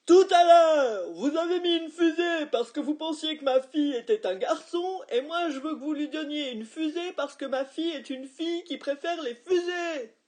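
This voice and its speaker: A woman reading a storybook, voicing a stubborn father who grows indignant and shouts at a waitress. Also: high voice, animated, a little slow, pitched above the performer's own voice. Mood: shouting. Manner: indignant, repeats his pointed questions. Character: exasperated dad, stubborn and quick to shout.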